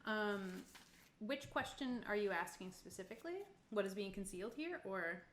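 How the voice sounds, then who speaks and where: A woman's voice: mid-range voice, animated, moderate pace. A woman narrating casually as game master at a tabletop roleplaying session. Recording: echoey room, noticeable background noise.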